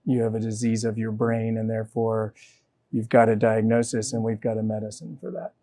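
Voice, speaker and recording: A man's voice: on the low side, fairly even intonation, brisk, mellow. A man on a discussion panel, reflectively summarizing another speaker's point. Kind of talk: roundtable discussion.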